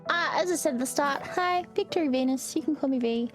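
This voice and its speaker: A woman's voice: high voice, quick pace, faint music behind. A woman streamer chatting casually after a tabletop game, stumbling over her words as she talks. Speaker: streamer and player. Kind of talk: casual chat.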